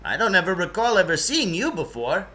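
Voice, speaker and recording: A man's voice: high voice, big pitch swings, a little fast, sharp tone, voice pushed up, exaggerated voice. A man voicing an eccentric, brusque and impatient grown man in a children's novel, speaking emphatically and dismissively. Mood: puzzled. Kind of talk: children's novel read-aloud.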